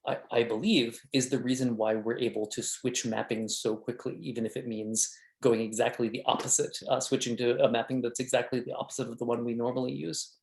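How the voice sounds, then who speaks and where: A man answering questions after an academic talk, speaking in a halting way with many restarts and fillers. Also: medium pitch, flat delivery, vocal fry, darkish tone, reverberant. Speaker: invited speaker.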